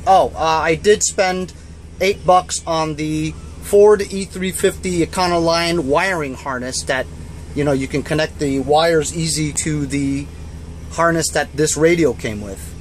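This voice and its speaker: A man's voice: fairly high pitch, unhurried, a little hiss or hum. A man giving a casual, off-the-cuff gadget review in a laid-back, colloquial way, as a vlogger talking inside a van.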